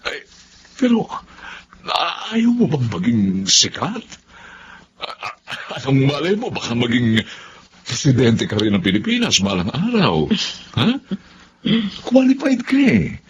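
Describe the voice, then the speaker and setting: A man acting a pushy, flattering political recruiter in a Filipino radio drama, with a wheedling, exclamatory manner. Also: medium pitch, unhurried, long pauses. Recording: slightly noisy, dull radio-drama audio.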